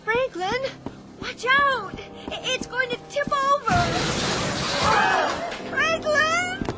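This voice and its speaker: Worried pleading wife in a radio drama, high voice, talks slow, bit of music behind. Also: female voice, exaggerated voice. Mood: alarmed pleading. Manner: imploring, fretful. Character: anxious, pleading, devoted wife.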